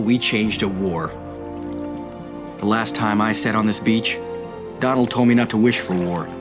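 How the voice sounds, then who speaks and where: A battle-weary navy captain, voiced by a man looks back solemnly in a war game's cutscene. His voice is somewhat low and measured over a clear music score. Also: natural voice. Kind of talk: video game cutscene narration.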